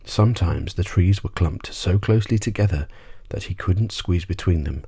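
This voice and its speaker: A man narrating a bedtime story audiobook in an ASMR style, husky and gently measured. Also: deep voice.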